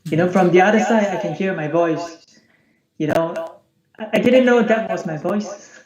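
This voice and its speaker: A man with a high-pitched, youthful, unbroken voice, recounting his situation in a repetitive, conversational way as a client on an online call. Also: normal speed. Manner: repetitive, says "you know" often. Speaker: client, accountant. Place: remote video call. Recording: echoey, muffled online call with background chatter.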